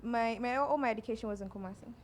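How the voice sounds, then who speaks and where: This young woman speaks with a Ghanaian accent and a voice pitched a little high. She tells her stories in a lively, laughing way, as a guest on an interview show. Faint background noise sits under her voice. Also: very fast. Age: young adult. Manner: laughing, animated storyteller. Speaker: actress guest. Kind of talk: casual interview chat.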